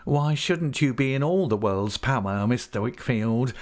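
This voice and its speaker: Male reader as a sly clerk, raised pitch, whining, mock-humble, sulky, menacing undertone, storybook villain. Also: animated, measured pace, darkish tone, natural voice. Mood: warning, insinuating.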